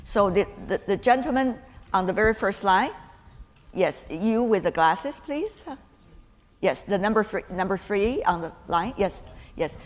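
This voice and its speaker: A woman moderating a panel, briskly taking questions from the floor. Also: mid-range voice, animated. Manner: brisk, directs audience questions. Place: conference hall. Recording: echoey hall with faint room noise.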